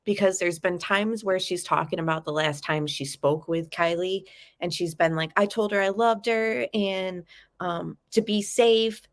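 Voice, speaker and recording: A woman with a low voice, stuffed up and nasal from a lingering cold. She talks in a loose, chatty way, calling her viewers guys. She walks them through a true crime case. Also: measured pace. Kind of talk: casual live chat commentary.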